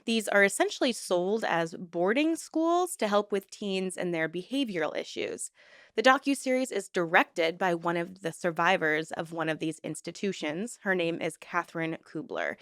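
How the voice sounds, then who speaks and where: A woman's voice: on the low side, lively intonation, moderate pace, a bit bright, dry recording, clean studio sound. A woman hosting a true-crime commentary vlog, stuffed up and nasal from a cold, talking in an earnest, conversational way. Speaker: YouTube host.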